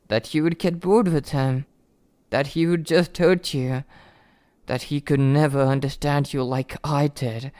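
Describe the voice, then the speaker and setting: An obsessive young yandere played by a man speaks slowly and deliberately, in a smooth voice recorded dry and close. He is possessive and lovesick, pleading with a tender menace in an intimate ASMR roleplay. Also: a little high, a little mellow, no echo, lightly acted. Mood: bitter, regretful.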